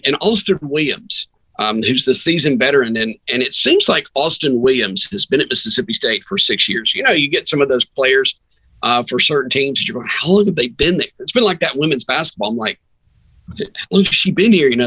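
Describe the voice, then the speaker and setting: A man's voice: mid-range voice, sharp tone, no room sound, poor audio. A man talking casual sports analysis as a radio broadcaster guest, acting out reactions as he tells stories.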